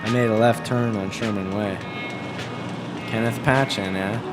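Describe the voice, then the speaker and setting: A man reading a scripted first-person story in a deadpan, terse way, as a wry, observant driver out with his girlfriend. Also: on the low side, unhurried, lightly acted. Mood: wry, detached. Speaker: author reading excerpts from his own novel. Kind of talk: scripted first-person narration with dialogue over music. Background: music.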